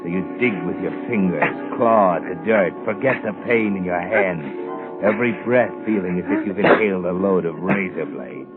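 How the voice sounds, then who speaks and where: An actor playing an ominous, knowing narrator who taunts the doomed in 1940s radio suspense style, fatalistic and insinuating. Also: male voice, low-pitched, moderate pace, lightly acted. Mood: grim, taunting. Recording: muffled vintage 1940s radio, music behind.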